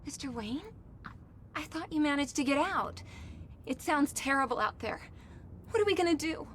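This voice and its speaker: A woman's voice: high-pitched, expressive, moderate pace, slight background noise. A woman playing a frightened office worker in a video game, hesitant and worried. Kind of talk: video game drama acting.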